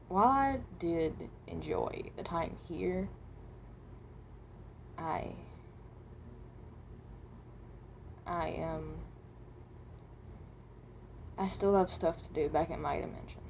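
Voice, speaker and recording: A woman playing a hesitant, mild-mannered traveler from another dimension, speaking haltingly and often rephrasing in improvised character voice lines. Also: lowish voice, vocal fry, darkish tone, a little hiss or hum. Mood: hesitant, wistful.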